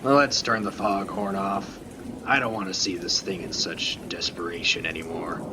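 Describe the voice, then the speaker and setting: A man's voice: low-pitched, flat delivery, normal speed, close to an everyday voice. A man playing a seasoned, wry lighthouse keeper in an amateur radio play, speaking in a dry, matter-of-fact way. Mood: pensive. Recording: noisy rain and storm ambience.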